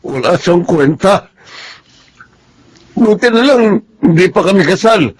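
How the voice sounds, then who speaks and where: Casting: resentful young father in mourning, high male voice, radio soap, faintly noisy track. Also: expressive, unhurried. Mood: bitter grief. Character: bitter grieving young father leaving his partner. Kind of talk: Tagalog radio drama acting.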